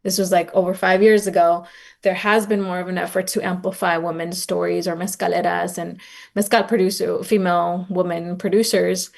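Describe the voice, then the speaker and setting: A woman's voice: on the low side, creaky. A woman giving informal, reflective interview answers as a podcast guest, often saying "like" and retelling exchanges in dialogue. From raised in Los Angeles, born in Oaxaca. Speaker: podcast guest, nonprofit director and advocate.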